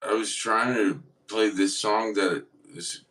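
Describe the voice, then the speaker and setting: A man's voice: on the low side, steady tone, slow, fairly bright tone. A man chatting casually as a guest songwriter, hesitant and self-deprecating in the way he talks. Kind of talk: casual chat. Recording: distant from the mic.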